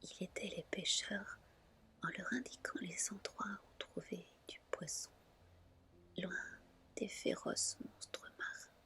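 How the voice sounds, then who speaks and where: Woman, ASMR story reading, soft breathy whisper, crisp bright edge, gentle delivery. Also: mid-range voice, fairly even intonation, takes it slowly.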